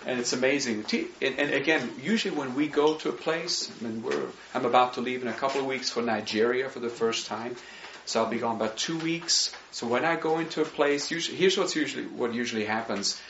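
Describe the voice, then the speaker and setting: A man's voice: mid-range voice, brisk, fairly bright tone, a little hiss or hum. A man from Switzerland with a slight Swiss accent, presenting his mission work to a congregation in an earnest way with lots of "you know" and "right?". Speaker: missionary speaker. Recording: roomy, dull sound.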